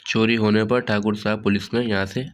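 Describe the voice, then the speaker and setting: A hoarse man with a sore throat walking students through a study guide in a relaxed way, often saying "theek hai". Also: on the low side, a little fast. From Pakistan. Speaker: online tutor. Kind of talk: casual study-guide walkthrough.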